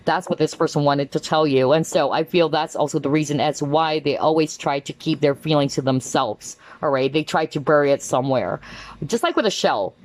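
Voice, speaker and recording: Woman, tarot reader, low voice, chatty and exclamatory, casual pick-a-card reading. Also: a little fast. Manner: chatty, checks in with tag questions; animated, exclaims often.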